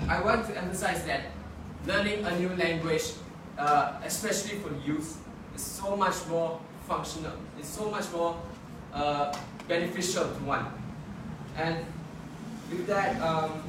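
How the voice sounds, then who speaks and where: A young man argues his case in a formal debate, restarting phrases as he goes. His voice sits high, and it carries through a very echoey room with clear background noise. The mic picks him up from a distance. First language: not English. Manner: earnest, argumentative, frequent self-corrections. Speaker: student debater. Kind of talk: competitive debate speech.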